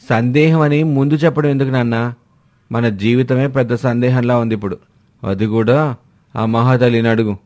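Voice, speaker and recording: A man's voice: mid-range voice, steady tone, moderate pace, darkish tone, natural voice. A man voicing a sarcastic grown son who mocks his wife. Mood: mocking. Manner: sarcastic, mocking.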